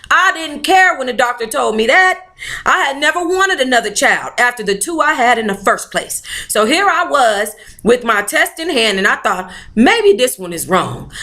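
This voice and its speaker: Woman, book club reader, bright tone, very lively intonation, sassy, informal, playful asides. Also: sits high. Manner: animated, sassy, informal interjections. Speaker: book club host reading a memoir aloud. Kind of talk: expressive read-aloud with playful ad-lib commentary.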